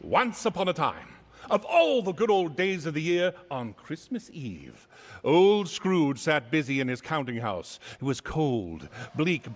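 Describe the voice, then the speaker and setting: A man narrating a story theatrically in a wry, formal, deliberate way, in an echoey theater hall. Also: on the high side, a bit bright, slight background noise. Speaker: stage narrator and presenter. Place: theater stage.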